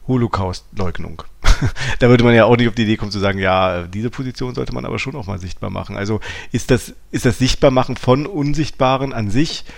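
A man's voice: mid-range voice, brisk, creaky, dry recording, clean studio sound. A man co-hosting a podcast in conversational discussion, with a questioning, probing manner. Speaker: podcast co-host.

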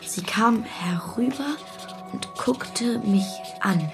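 A woman's voice: on the high side, expressive, slow pace. A performer playing a young boy who narrates his private thoughts in a musing, confiding way in a radio play. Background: music.

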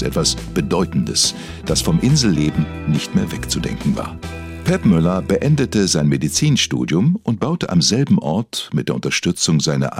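A man playing a solemn documentary narrator, delivering scripted lines in a weighty, dramatic way with a husky voice. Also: deep voice, exaggerated voice. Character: male documentary narrator, solemn, mock-investigative.

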